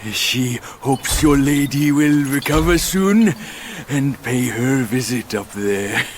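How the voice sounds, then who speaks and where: A man's voice: medium pitch, takes it slowly, a little hiss or hum, close to an everyday voice. An actor voicing a boastful, lewd pig-man demon in a video game, in a theatrical, sly manner. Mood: sly, obsequious. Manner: theatrical, sly chuckling delivery with sudden outbursts. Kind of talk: video game cutscene acting.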